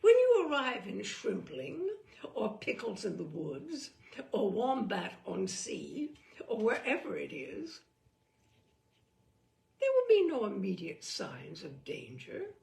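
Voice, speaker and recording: A woman reading a humorous book aloud as a storyteller, in a deliberate, theatrical and wry manner. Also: medium pitch, huge pitch swings, gravelly, a little mellow. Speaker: reader of humorous book selections. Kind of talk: dramatic storytelling read-aloud. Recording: distant microphone.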